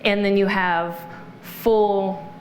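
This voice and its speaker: A woman's voice: medium pitch, fairly even intonation, extremely slow. Woman guest explaining her practice, earnest and agreeable, echoey room, faint hiss. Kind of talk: interview conversation.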